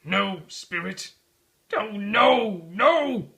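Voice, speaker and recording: A man's voice: high voice, animated, extremely slow, vocal fry, a crowd in the background, pitched above the performer's own voice, exaggerated voice. A man voicing a repentant old miser from a storybook, speaking in fervent, emphatic exclamations. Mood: desperate pleading. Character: repentant old miser, fervently pleading, then giddily joyful.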